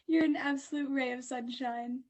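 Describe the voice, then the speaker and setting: A woman voicing a gentle, sweet young goddess. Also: sits high.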